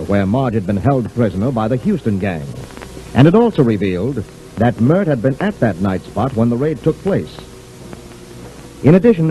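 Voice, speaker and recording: Casting: male serial narrator, dark smooth-edged tone, lively intonation, music behind, vintage radio. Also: medium pitch, poor audio. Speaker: announcer and narrator. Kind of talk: scripted 1940s radio narration. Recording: old 1940s radio broadcast.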